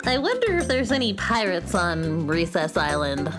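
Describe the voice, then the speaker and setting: A woman's voice: fairly low pitch, sing-song, moderate pace, over loud music. A woman playing a cheeky young schoolboy, teasing and jokey, in a children's audio story.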